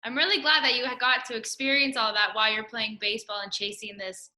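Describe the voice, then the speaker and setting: A woman's voice: a little high, little pitch movement, fast talker, pushed and bright. A woman hosting an interview, chatting casually and gushing encouragement at her guest. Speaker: interview host.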